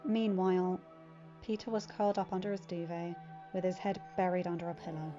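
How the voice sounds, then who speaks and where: A woman's voice: on the low side, little pitch movement, a little fast. A woman reading a scripted story aloud as an audiobook narrator. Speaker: fan fiction reader. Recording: dull lo-fi recording, music underneath. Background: background music.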